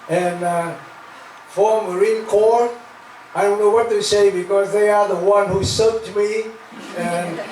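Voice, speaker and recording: An older man with a Vietnamese accent giving a halting after-dinner speech, full of fillers and restarts, with gentle self-deprecating humor. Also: sits high. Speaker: guest speaker. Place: large hall. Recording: echoey hall, far from mic, faint noise.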